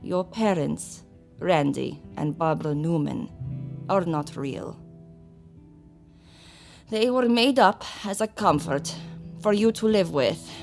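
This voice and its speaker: A woman acts out an old servant of a vampire king in a gothic audio drama. She is solemn and formal, telling things slowly in a lowish, creaky voice over clear music. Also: darkish tone. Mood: sorrowful. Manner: formal, measured storytelling.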